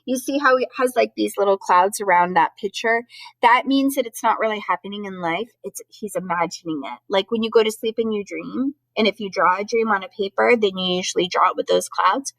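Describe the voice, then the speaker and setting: A woman's voice: mid-range voice, brisk, gravelly, fairly bright tone, no room sound, low-quality recording. A woman teacher reading a children's storybook aloud, expressively, with chatty asides that explain things simply to young listeners. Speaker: schoolteacher.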